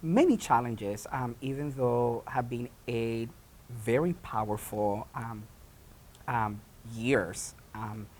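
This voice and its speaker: A man from Puerto Rico, a theatre founder and director, answering interview questions in a halting way with many pauses and restarts. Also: medium pitch, a little mellow, slight background noise.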